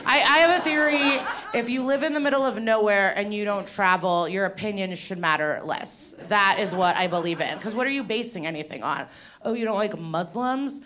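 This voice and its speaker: A woman's voice: mid-range voice, moderate pace. A woman in her thirties doing a stand-up comedy set on a handheld mic, rambling and chatty with asides to the audience. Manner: rambling, conversational, crowd-work asides. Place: small dim venue with a live audience. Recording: loud audience laughter. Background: audience laughter.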